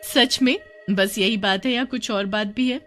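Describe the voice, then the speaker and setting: A woman voicing a charming, confident young woman in a story, in a light, expressive manner. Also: mid-range voice, unhurried, soft background music, close to an everyday voice. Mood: teasing. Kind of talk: dramatised Hindi storytelling.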